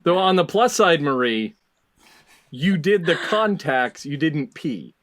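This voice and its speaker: A man hosting a casual comedic panel quiz, explaining things in a halting, mock-scientific way. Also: fairly high pitch, big pitch swings, a bit bright, no room sound, studio quality. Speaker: quiz show host.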